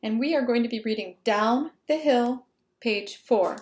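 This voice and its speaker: A woman narrates a children's storybook with emphatic expression. Her voice is fairly high, and she takes her time over the words. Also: measured pace, background noise. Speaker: storybook reader. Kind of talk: children's read-aloud narration.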